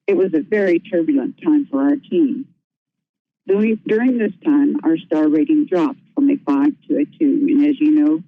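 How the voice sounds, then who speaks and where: A woman's voice: low-pitched, vocal fry, no room sound. A woman giving a prepared presentation as a nursing home administrator, earnest and careful, with small restarts. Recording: tinny phone-line audio.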